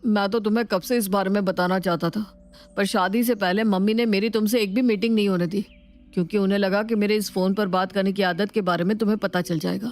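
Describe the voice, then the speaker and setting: A man's voice: high-pitched, fairly even intonation, fast talker. A man playing an earnest, defensive young Indian husband in a family story, explaining himself at length. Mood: earnest confessing. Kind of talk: scripted Hindi family story dialogue.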